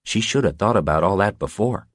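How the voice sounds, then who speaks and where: A man's voice: on the low side, animated, a little fast, dry recording, clean studio sound, pitched above the performer's own voice, natural voice. A man voicing a sour, defensive male state trooper in an audiobook, curt, stubborn and dismissive. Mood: dismissive, offended.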